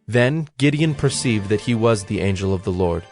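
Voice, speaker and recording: A man narrating scripture from a script in a measured, storytelling manner. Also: on the low side, expressive, faint music behind.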